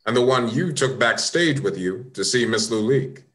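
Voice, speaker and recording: A man with an American accent playing a firm, knowing police detective in a radio play, commanding and deliberate. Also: medium pitch, animated, a bit bright, lightly acted. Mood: probing.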